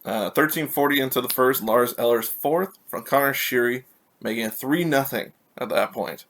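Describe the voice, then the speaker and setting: A man from California hosting a casual solo hockey recap, rambling and correcting himself as he reads scores and stats, a little raspy from allergies. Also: mid-range voice, moderate pace, a bit bright.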